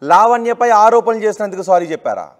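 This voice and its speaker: A man's voice: high voice, big pitch swings, fast. A man conducting a probing news interview, pressing insistently with pointed questions.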